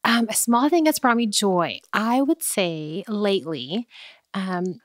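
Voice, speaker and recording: A woman raised in the Philippines as a guest on a podcast, speaking in a soft, reflective way about her childhood and faith. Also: mid-range voice, expressive, takes it slowly, no echo, clean studio sound. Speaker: interview guest. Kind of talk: personal testimony in conversation.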